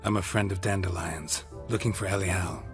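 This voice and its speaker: Stoic male monster hunter for a fantasy game, man acting: very low, dry, curt, music behind. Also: normal speed, lightly acted. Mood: matter-of-fact. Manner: deadpan, terse. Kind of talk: video game dialogue acting.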